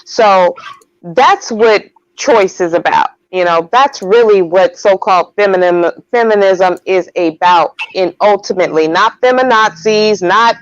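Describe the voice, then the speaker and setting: A woman speaks her mind in a discussion, firm and emphatic. Her pitch sits in the middle range. The audio is dull and lo-fi, with very little room sound. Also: a little slow, dry recording. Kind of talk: opinionated discussion.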